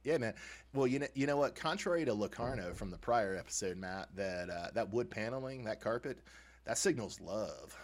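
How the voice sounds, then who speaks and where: A man co-hosting a podcast, chatting casually and comically as a fan, with animated, informal, chuckling banter. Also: on the low side, moderate pace. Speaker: podcast co-host. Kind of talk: casual comedic fan discussion.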